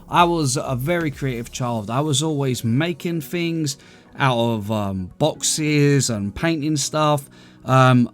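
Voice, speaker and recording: A man with an English accent and a slightly high-pitched voice talks casually through a gaming video. He explains things in a chatty way, speaking to his viewers as friends. Music plays behind his voice. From London, UK. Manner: chatty, explanatory, addresses listeners as guys. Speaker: gaming video creator and host. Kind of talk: casual gameplay commentary answering viewer questions.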